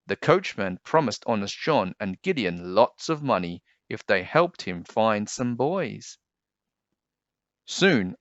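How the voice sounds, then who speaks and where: A man reading a children's storybook aloud as an expressive narrator. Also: fairly low pitch, no room sound, lo-fi sound.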